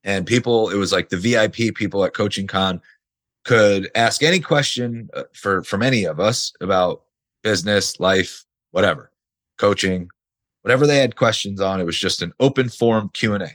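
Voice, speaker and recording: A man hosts his solo podcast in a rough, hoarse voice, close to losing it. His voice sits fairly low, and he talks casually, hitting his points hard and swearing now and then. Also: moderate pace, a bit bright. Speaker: podcast host.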